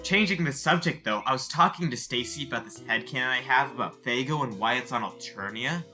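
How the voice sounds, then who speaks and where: A man's voice: medium pitch, lively intonation, a little fast, bright, forceful tone. A man playing an earnest, friendly, geeky young convention fan, chatty and apologetic, in a fan comic dub. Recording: music behind the voice.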